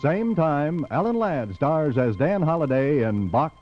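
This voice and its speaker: A man's voice: on the high side, lively intonation, measured pace. A man reading scripted programme credits as a 1940s radio announcer. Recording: dull old radio recording, loud music behind.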